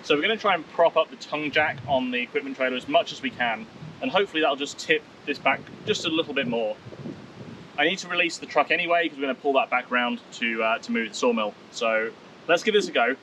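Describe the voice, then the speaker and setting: A man in his thirties, a vlogger, talking outdoors in a wooded clearing and explaining things step by step. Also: mid-range voice, fast, pushed and bright, a little hiss or hum, low-quality recording. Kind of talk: casual explainer vlog.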